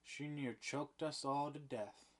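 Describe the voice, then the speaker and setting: A man's voice: fairly high pitch, fairly even intonation, slow pace, fairly bright tone, voice pushed up. A man reading the part of a frightened young female servant with a trembling voice. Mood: shaken.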